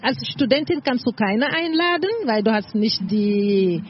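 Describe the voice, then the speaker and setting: A woman's voice: fairly high pitch, lively intonation, measured pace. A middle-aged woman from Senegal with a Senegalese French accent, answering interview questions as a project coordinator, feeling her way through phrases. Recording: muffled lo-fi sound, faint noise.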